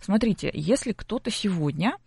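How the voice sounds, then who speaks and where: A middle-aged woman speaks as an expert in an interview. Her medium-pitched voice has a creaky edge, and she teaches as she goes, posing questions to make her point. The sound is dry and close. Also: animated, normal speed, darkish tone, dry recording. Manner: didactic, checks in with rhetorical questions. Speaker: psychology expert guest on a broadcast. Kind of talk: explanatory interview talk.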